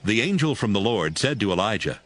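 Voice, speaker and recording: A man's voice: fairly low pitch, sing-song, brisk, fairly bright tone. A man reading scripted narration for a dramatized audio Bible as its storyteller.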